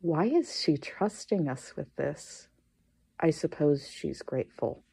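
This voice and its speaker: A woman listener delivering a prepared fan commentary with deliberate, theatrical phrasing. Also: sits low, expressive, creaky, dark tone, breathy edge. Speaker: listener sending a voice submission.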